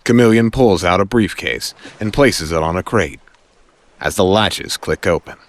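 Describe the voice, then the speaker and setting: A man narrating an audio drama in a suspenseful style, with deliberate phrasing and dramatic pauses. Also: deep voice, dry recording. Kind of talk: dramatic scripted story narration.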